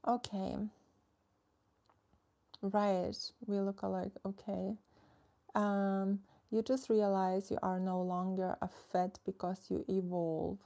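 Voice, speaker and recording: A woman's voice: medium pitch, level tone, slow, creaky, mellow. A young woman, a social media coach chatting casually on a live stream, reading and answering viewer comments in a frank, filler-heavy way.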